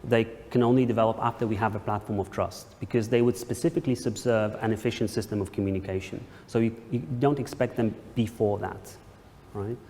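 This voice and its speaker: Man, academic presenter in Q&A, fry-laden voice, tentative explainer, boomy room with faint noise. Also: fairly even intonation, a little fast, hardly any pauses, dark tone. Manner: hesitant, frequently checks with "right?". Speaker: academic presenter answering questions. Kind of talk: conference question-and-answer, explaining. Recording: echoey hall, some background noise.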